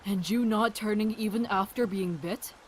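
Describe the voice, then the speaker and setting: A woman's voice: mid-range voice, moderate pace, a little hiss or hum, close to an everyday voice. A woman playing a friendly, curious doctor in an audio drama, chatty and reassuring. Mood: earnest, concerned. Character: friendly, curious adult doctor and virus researcher.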